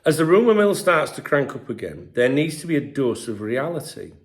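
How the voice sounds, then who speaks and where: A middle-aged English man with a stuffy, congested voice and a sore throat, chatting casually as a football channel host and often clearing his throat. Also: mid-range voice, sing-song, fairly quick. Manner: chatty, frequent throat clearing, filler-heavy. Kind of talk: casual commentary to camera.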